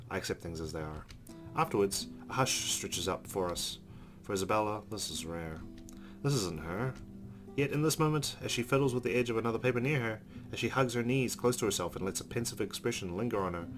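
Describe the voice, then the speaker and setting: A male let's play host with a lowish voice reads game narration aloud. He phrases it like a storyteller, with clear guitar music playing behind him. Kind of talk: reading visual novel narration aloud. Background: background music with guitar.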